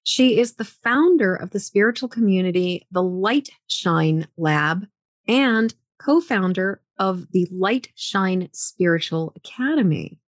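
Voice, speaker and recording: A woman's voice: mid-range voice, lively intonation, takes it slowly, dry recording, studio quality. A woman hosting a podcast interview, chatty and enthusiastic, rambling with lots of fillers. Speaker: podcast host. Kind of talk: casual interview chat.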